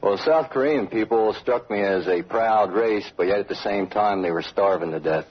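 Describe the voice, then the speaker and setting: A man's voice: lowish voice, moderate pace, husky. An American man, a soldier, giving eyewitness testimony in a recorded interview. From United States. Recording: dull old radio interview recording.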